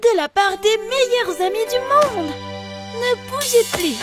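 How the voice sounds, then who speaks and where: A young artist puppy in a kids' cartoon, with an extremely high, childlike voice. She is theatrical and plaintive, a touchy little soul. Loud music plays behind her. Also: expressive, unhurried. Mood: surprised, relieved. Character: dejected, dramatic young female artist puppy. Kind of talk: French-dubbed children's cartoon acting.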